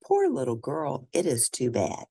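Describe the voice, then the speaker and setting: A woman's voice: very deep voice, wildly swooping pitch, a little slow, warm tone, slightly rough, no echo, studio quality, full character voice. A woman voicing a kind caregiver in a children's storybook, tender and comforting. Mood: pitying.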